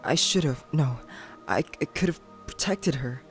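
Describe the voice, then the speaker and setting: A man playing a guilt-stricken, grieving young pack member in a roleplay drama, distraught and halting. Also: medium pitch, lively intonation, faint music behind.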